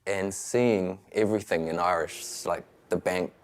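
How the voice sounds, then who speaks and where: A man's voice: sits low, fairly even intonation, unhurried. A man being interviewed, talking in a reflective, earnest way. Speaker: interviewee, Māori language translator. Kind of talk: interview answers.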